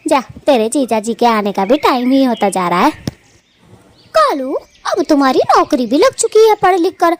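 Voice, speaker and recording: A woman's voice: high voice, sing-song, normal speed. A woman voicing a fond, scolding, matchmaking elder sister bird in a cartoon story, with a homely, bossy, nagging manner. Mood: fussing, coaxing. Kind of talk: animated cartoon story dialogue.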